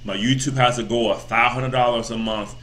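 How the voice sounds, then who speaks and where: A man's voice: mid-range voice, fairly even intonation, brisk, a bit bright. A man in his thirties giving a casual, rambling motivational talk as a vlogger, full of repeats and "you know" fillers. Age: 30s.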